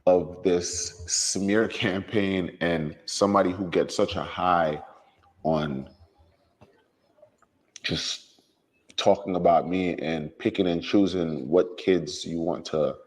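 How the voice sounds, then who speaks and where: Man, very low voice, calm and measured, long reverb on the recording. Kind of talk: recorded interview, speaking about his family. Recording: clip with long room reverb.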